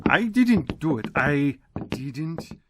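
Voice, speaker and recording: Man playing a frightened, halting farmer, swooping pitch. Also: very animated, very noisy. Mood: protesting. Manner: halting, stammering, formal non-native phrasing. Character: frightened, pleading farmer accused of murder. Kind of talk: old-time western radio drama acting.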